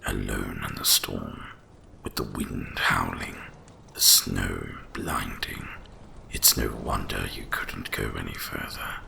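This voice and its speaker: A man voicing an ancient, gentle winter dragon in an ASMR roleplay, hushed and soothing, with a deep gravelly half-whisper and deliberate pauses. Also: slight background noise, heavily acted. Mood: tender, soothing.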